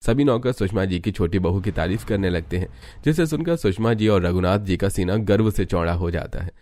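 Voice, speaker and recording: धीमे सुर वाला पुरुष कथावाचक. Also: male voice, low voice, fairly quick, a little mellow, dry recording, clean studio sound. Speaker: narrator. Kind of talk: scripted cartoon story narration.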